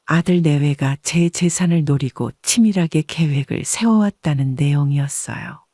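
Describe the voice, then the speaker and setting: A woman reading a first-person story as an audiobook narrator in a measured, composed way. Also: low-pitched, warm tone, no echo, clean studio sound.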